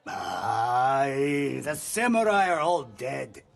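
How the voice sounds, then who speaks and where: Slow, swooping, weary drunkard smuggler. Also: male voice, mid-range voice, very animated, creaky, exaggerated voice. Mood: wry, tipsy. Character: weary hard-drinking smuggler, evasive and sardonic. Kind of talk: cinematic video game dialogue. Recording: music under the dialogue.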